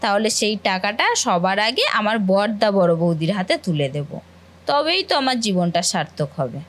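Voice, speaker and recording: A woman's voice: medium pitch, expressive, normal speed, lightly acted. A woman voicing a sweet, coaxing college-age younger sister in a family cartoon. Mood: earnest. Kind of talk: Bengali family cartoon voice acting.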